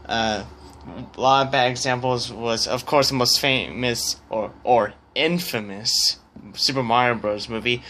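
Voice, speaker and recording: A man with a bright, forward voice talks slowly through his opinions in a casual vlog. His phrasing is hesitant and halting, with word starts repeated as he thinks aloud. There is some noticeable background noise around him. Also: mid-range voice. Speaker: vlogger.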